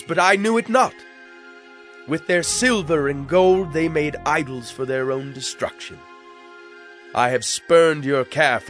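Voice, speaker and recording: Wrathful God for dramatized scripture: fairly high male voice, grave and declamatory, background music. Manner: solemn, declamatory, deliberate phrasing. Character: wronged, wrathful male God pronouncing judgment through prophecy. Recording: music bed under the voice.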